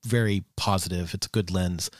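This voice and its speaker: Low-voiced man chatting casually, dry sound. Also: moderate pace, no echo. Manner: relaxed, chatty, swears casually, frequent fillers. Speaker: podcast co-host. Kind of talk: casual chat.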